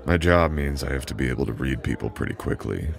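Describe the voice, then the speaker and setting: Very deep, gravelly immortal boyfriend acted by a man. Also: expressive, soft background music, full character voice. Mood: warm, teasing.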